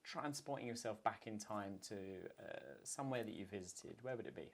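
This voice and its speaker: A man's voice: on the low side, fairly quick, creaky. A man hosting a podcast, asking questions in a friendly, easy-going way. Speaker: podcast host. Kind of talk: casual interview chat.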